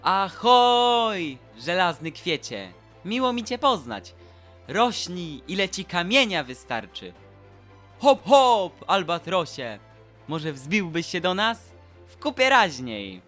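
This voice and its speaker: A man's voice: sits high, big pitch swings, takes it slowly, a bit bright, faint music behind, exaggerated voice. A man voicing a cheerful, curious and slightly timid statue boy in an audio drama, exclaiming in a naive way. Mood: gleeful.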